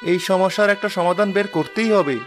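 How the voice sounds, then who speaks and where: A weary village husband and dutiful son in a cartoon , voiced by a man, sounding concerned. His pitch is high for a man. Soft music is heard behind him. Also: fast, lightly acted. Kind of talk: cartoon character dialogue.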